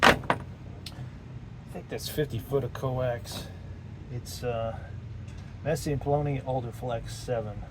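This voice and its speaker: A man casually demonstrating radio gear outdoors as a hobbyist, talking plainly and haltingly as he works. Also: mid-range voice, steady tone. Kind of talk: casual off-the-cuff hands-on demonstration. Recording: loud outdoor wind and ambient noise.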